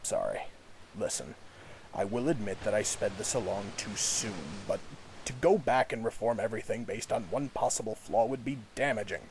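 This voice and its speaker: Low gravelly male, guarded commander, acted by a man, terse, measured, audio drama, faint noise. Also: a little mellow, lightly acted, low-quality recording. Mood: stern. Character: commanding, guarded man running a secret suit program. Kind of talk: amateur audio drama acting.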